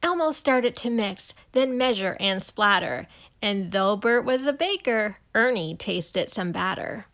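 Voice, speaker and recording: A woman reading a rhyming children's story aloud in an expressive, unhurried, measured way. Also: mid-range voice. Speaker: storybook reader.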